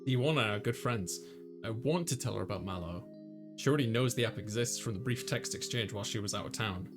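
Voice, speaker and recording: A man's voice: fairly low pitch, fast talker. Man narrating a visual novel over music. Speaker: let's player voicing a visual novel. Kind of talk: reading game narration and dialogue aloud, dramatized. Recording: music playing behind the voice. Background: clear background music.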